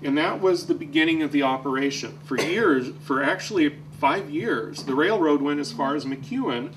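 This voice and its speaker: A man with a slightly high voice gives an explanatory history talk. He is picked up from a distance, with clear noise around him. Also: moderate pace. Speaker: presenter. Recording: distant, noisy room recording.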